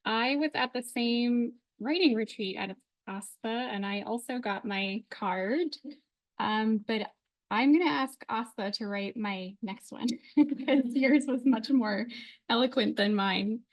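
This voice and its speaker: A woman's voice: a little high, measured pace, no echo, low-quality recording. A woman researcher giving a casual, friendly seminar talk with many hesitant fillers. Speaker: faculty researcher.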